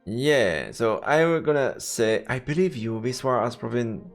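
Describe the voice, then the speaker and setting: Animated French-accented man streaming a game. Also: medium pitch, unhurried. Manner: playful, theatrical reactions. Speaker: live streamer playing a visual novel. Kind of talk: reading game text aloud with casual commentary. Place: indoors, live game stream. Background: faint game music.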